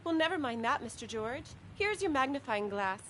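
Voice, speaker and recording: A woman voicing a brisk, bossy but loyal detective's assistant in a video game, prim and chiding. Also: high voice, sing-song, background noise. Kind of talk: video game character acting.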